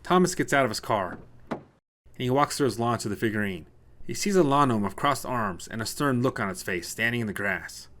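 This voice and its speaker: A man's voice: mid-range voice, expressive, fairly quick, fairly bright tone. A man narrating a scripted short story as a storyteller.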